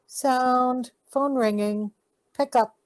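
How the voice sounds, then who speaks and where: A woman's voice: high voice, fairly even intonation, very slow. A woman reading sound effect cues aloud for a radio play, in short announcements.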